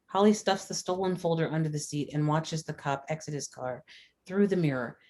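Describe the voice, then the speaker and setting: A woman's voice: sits low, a little fast, darkish tone. A woman reading scripted stage directions as narrator at a screenplay table read.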